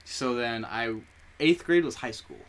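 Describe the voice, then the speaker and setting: A man's voice: medium pitch, animated, measured pace, fairly bright tone. A young man whose first language is Spanish, co-hosting a podcast in casual chat and rambling through stories while he often corrects himself. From United States. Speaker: podcast co-host.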